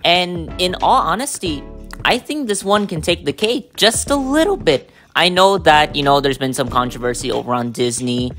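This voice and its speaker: A man's voice: on the high side, expressive, moderate pace, fairly bright tone, faint music behind. A man hosting his own podcast, giving a casual, unscripted show review in a chatty way, with lots of fillers and restarts. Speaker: podcast host. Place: New York City.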